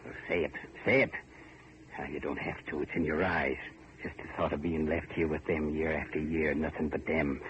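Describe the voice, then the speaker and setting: An actor playing a bitter, menacing old servant full of hatred in an old-time radio horror drama. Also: male voice, extremely low pitch. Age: older. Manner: menacing, taunting, drawn-out emphasis. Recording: muffled, noisy vintage radio recording.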